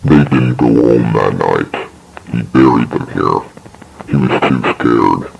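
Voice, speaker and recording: A man voicing an ominous, accusing horror narrator, speaking slowly and deliberately with a gravelly voice. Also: lively intonation, full character voice, poor audio. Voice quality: gravelly, low. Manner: slow, menacing, deliberate. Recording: loud music over voice.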